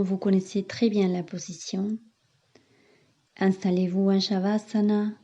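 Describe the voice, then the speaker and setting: A woman yoga teacher leading a guided meditation in soothing, measured instructions with long pauses, on a slightly muffled recording. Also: mid-range voice, mellow.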